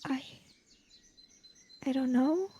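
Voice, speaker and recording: A woman's voice: high voice, drawn-out words, dark tone, breathy edge, dry recording, highs cut off, heavily acted. A woman voicing a frightened, hesitant young girl in a tabletop game, stammering and unsure. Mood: nervous, stammering. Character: frightened, hesitant kid named Lizzie, girl.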